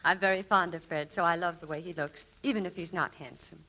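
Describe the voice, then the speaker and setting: An actress playing a poised, genteel lady friend who teases gently in 1940s radio comedy. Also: lowish voice, fairly even intonation, fast talker. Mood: gentle, amused. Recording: muffled vintage radio recording, faint noise.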